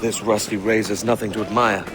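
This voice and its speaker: A man's voice: on the low side, level tone, fairly quick, crowd noise, natural voice. A man acting a roguish young pirate sailor in a video game, with a Welsh accent and a breezy, wry, cheeky manner. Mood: wry, easygoing.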